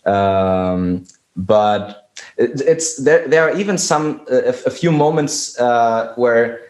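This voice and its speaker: A man's voice: mid-range voice, expressive, slow, a little mellow. A man whose native language is German, a musician guest talking hesitantly with many restarts in casual conversation. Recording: roomy echo.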